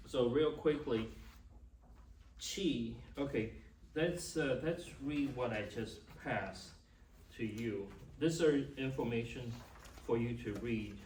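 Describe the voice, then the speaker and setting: A middle-aged man with a Taiwanese accent and Chinese as his first language, giving an informal, halting talk as a class instructor. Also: on the low side, darkish tone. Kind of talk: informal teaching and personal introduction. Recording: echoey room, distant mic, faint noise.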